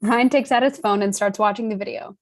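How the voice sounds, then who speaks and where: A woman's voice: medium pitch, a little fast. A woman reading stage directions as scripted narration for a screenplay table read.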